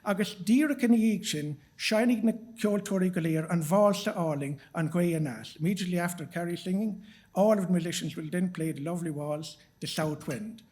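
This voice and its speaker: A man with an Irish accent formally introducing performers at an event in measured, prepared remarks. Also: high-pitched, darkish tone. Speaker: master of ceremonies introducing performers.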